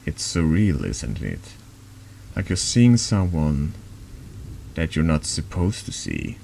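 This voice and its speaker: Man acting troubled male penitent, cynical, secretive, bottomless gravelly voice, slow, measured, horror game. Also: very deep voice, wildly swooping pitch, mellow, a little hiss or hum, exaggerated voice. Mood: probing. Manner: measured, brooding, polite.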